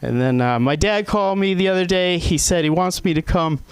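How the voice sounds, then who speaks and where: A man's voice: sits high, brisk, clean tone. A man doing open mic stand-up, rambling and self-deprecating with many fillers. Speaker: stand-up comic. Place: comedy club stage.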